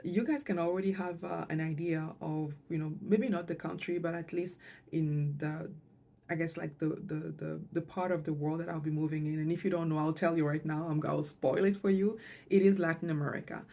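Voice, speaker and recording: Casting: middle-aged female vlogger, mother tongue, deep warm voice, hesitant and reflective delivery. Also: fairly even intonation, a little fast, creaky. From Canada, moved there with parents, lived in Montreal. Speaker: financial coach and vlogger. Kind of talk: personal vlog talk to camera.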